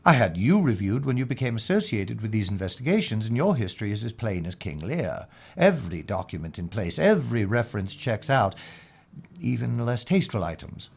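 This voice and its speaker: An actor playing an earnest, methodical British investigator in a wartime mystery audio drama, speaking formally and gravely. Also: male voice, lowish voice, big pitch swings, brisk, dull audio, exaggerated voice. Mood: intent, persuasive.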